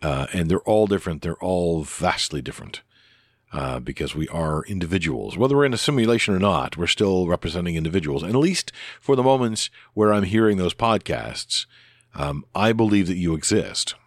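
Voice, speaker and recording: A man with a deep voice talking casually into a close microphone on his podcast, rambling and hesitant with many restarts and fillers. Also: animated, moderate pace, no room sound. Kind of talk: casual spoken reply to another podcaster.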